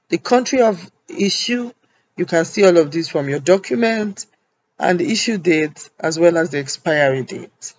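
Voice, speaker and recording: A man talks a viewer through an online form one field at a time, patient and methodical. His voice sits a little high, and he often pauses with "um". The voice-over has a dull, lo-fi sound. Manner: patient step-by-step explaining with frequent ums. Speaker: tutorial host. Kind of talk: screen-recorded form walkthrough. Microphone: voice-over on a screen recording.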